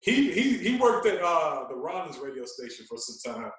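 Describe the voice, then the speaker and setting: A man's voice: on the high side, sing-song, normal speed, a bit bright. Guy chatting on a podcast, super echoey room, kinda far from the mic, tells stories with emphasis. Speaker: guest, veteran radio personality. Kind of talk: casual podcast storytelling.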